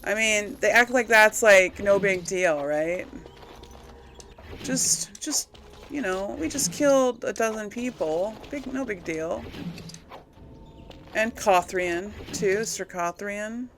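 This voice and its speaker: Gravelly-voiced woman thinking aloud while gaming. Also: mid-range voice, slow, a bit bright, a little hiss or hum. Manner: thinking aloud, self-questioning. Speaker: gamer hosting a role-play playthrough. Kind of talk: casual game commentary.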